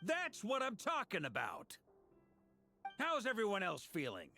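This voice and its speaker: A gutsy knight commander, rallying his people in a game. His voice sits a little high for a man and moves freely up and down, informal and sure of itself, over music. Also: wildly swooping pitch, a little slow, creaky, bright, forceful tone, full character voice. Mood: upbeat, commanding. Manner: informal, rousing pep-talk delivery. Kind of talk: video game voice acting.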